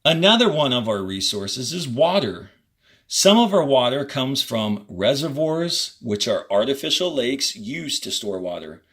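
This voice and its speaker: A man teaching children, reading a textbook aloud and explaining it step by step in short, deliberate directions. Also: medium pitch, big pitch swings, fairly bright tone. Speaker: fourth-grade teacher. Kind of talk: instructional read-aloud of a textbook to pupils.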